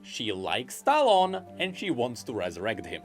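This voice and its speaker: A man's voice: mid-range voice, wildly swooping pitch, measured pace, faint music behind. A man commenting on game lore in a chatty, speculative voice-over.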